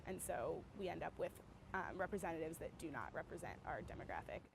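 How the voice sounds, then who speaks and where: A woman's voice: sits low, very animated, fast, vocal fry. Chatty young woman over traffic noise. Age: young adult. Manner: chatty, earnest, uses fillers like "like". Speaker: student voter. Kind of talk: casual street interview.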